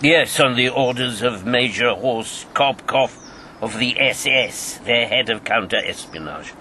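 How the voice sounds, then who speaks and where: An actor as a weary, reserved old veteran. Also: male voice, medium pitch, a little slow, background noise, close to an everyday voice. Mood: bitter, grave. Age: 70s or older. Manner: understated, hesitant, wry. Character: weary, reserved elderly male former wartime agent, camp survivor. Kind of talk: radio drama acting.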